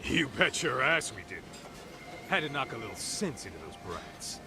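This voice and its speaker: An actor playing a brash, streetwise detective sidekick in a video game, blunt, slangy and wisecracking. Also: male voice, mid-range voice, very animated, normal speed, music in the background. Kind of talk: video game cutscene acting.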